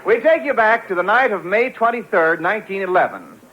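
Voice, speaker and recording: A male radio announcer with a high-set voice and a formal, polished 1940s delivery. He reads scripted copy, and the old broadcast recording sounds muffled. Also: animated, a little fast, very noisy.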